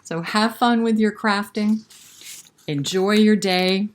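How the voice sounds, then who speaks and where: A woman's voice: mid-range voice, slow, a little hiss or hum. A woman hosting a library storytime, reading a picture book and chatting directly to young children with questions. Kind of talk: children's picture-book read-aloud and chat.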